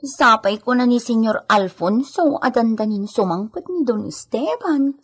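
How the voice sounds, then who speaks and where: High, husky Filipino servant girl. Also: expressive, measured pace. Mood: concerned. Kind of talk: radio drama acting.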